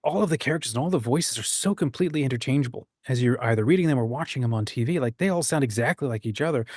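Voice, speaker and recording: Male discussion host, average pitch, dry recording, casual and explanatory, given to quoting imagined dialogue. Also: animated, very fast, darkish tone, dry recording. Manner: acts out short quoted examples.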